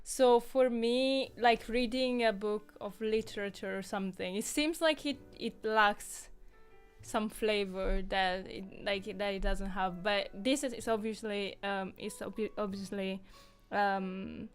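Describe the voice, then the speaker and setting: A woman's voice: mid-range voice, steady tone, measured pace, faint music behind. A woman whose first language is Italian, co-hosting a casual live-stream chat and thinking aloud as she searches for the right words. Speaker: co-host of a language-learning stream.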